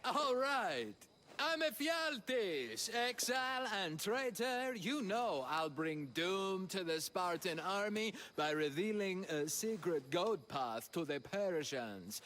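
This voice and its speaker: An actor playing a petty, gloating exiled traitor in a video game, declaiming stiffly as if on stage. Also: male voice, sits high, a bit bright, slight background noise, heavily acted. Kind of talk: play-within-game acting.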